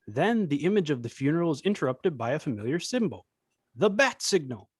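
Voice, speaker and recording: Slightly high-voiced man narrating a screenplay. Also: very animated, brisk, slightly rough, no echo, studio quality. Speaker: stage-direction reader.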